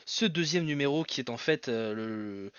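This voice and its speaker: A man's voice: medium pitch, animated, normal speed, a bit bright, clear, resonant tone, no room sound, muffled recording. Hesitant man hosting a solo podcast. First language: Portuguese. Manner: hesitant, many fillers and restarts. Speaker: podcast host.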